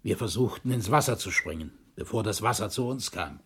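A man playing a colonel and historian, a shaken shipwreck survivor giving measured, formal testimony in a documentary radio drama. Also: fairly low pitch, lively intonation, fairly bright tone, breathy edge, full character voice. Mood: shaken, composed.

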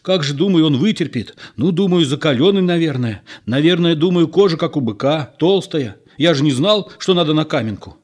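A man's voice: fairly high pitch, expressive, moderate pace, hardly any pauses, a little mellow, pitched above the performer's own voice. A man voicing a naive, flustered village man who makes bewildered excuses in an audiobook. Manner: bewildered, pleading, self-justifying.

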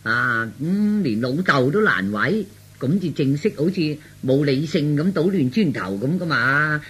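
A man playing a brash young son who feigns madness, blustering and scolding in a comic radio drama, with a husky voice. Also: fairly high pitch, animated, unhurried, a bit bright, full character voice. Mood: scheming, coaxing.

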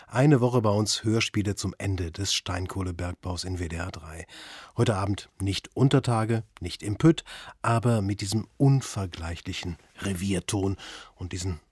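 Male radio presenter, low husky voice, conversational intro style, dry studio sound. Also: normal speed, darkish tone, dry recording. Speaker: radio host.

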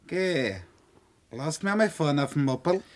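A man acting a gruff, taunting young street tough in a radio play, speaking slangy Viennese. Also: a little high, expressive, unhurried. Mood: bullying.

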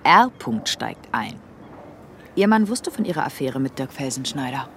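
Lively, blunt policewoman. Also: rumbling low, normal speed, slight background noise, heavily acted. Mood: probing, dry. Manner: blunt, provocative, dry retorts. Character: brisk, nosy, unflappable female police investigator. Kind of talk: radio drama acting.

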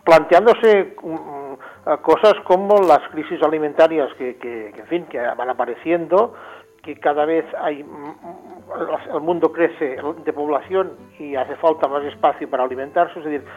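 Halting, higher-pitched man. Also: lo-fi sound. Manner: halting, searching for words, repeats himself. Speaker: interview guest, agricultural engineer. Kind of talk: spontaneous interview answers. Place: remote call into a radio programme.

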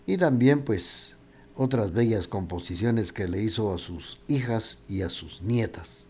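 A man hosting a radio music program, talking in an unhurried way with frequent hesitations and fillers. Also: fairly low pitch, expressive, dark tone, a little hiss or hum. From Guatemala. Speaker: radio host.

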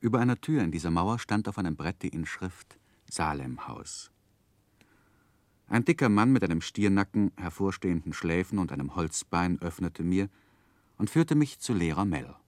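A narrator looking back on childhood in a vintage radio play. His very low voice is dry and close, with reflective, literary phrasing. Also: expressive, moderate pace, no echo. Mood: descriptive. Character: grown man recalling his sorrowful boyhood. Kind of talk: 1960s German radio drama narration.

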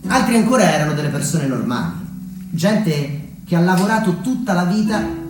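A man from Umbria in Italy performing a reflective theatrical monologue as a solo storyteller, measured and pausing for weight. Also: high voice, expressive. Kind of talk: theatrical monologue, narrated memoir. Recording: very echoey, distant mic, music underneath.

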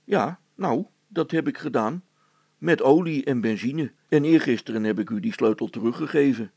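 A man doing a gravelly, down-to-earth deadpan fellow. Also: medium pitch, moderate pace, no room sound, voice pushed down. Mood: insistent. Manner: deadpan, matter-of-fact. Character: down-to-earth, blunt, dry-witted male companion.

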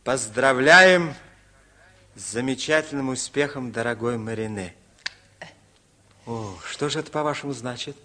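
An actor playing a cheeky, lovesick young village driver in a 1950s radio comedy, theatrical and wheedling. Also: male voice, mid-range voice, measured pace, long pauses, bright, forceful tone. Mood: skeptical, teasing.

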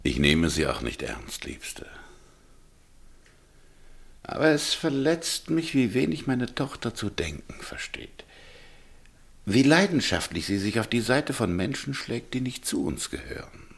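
An actor playing a proud middle-aged governor, husky and gravelly, stern but tender with his wife, in a radio play. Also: mid-range voice, big pitch swings, measured pace, exaggerated voice. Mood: troubled, reflective. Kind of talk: German radio play acting.

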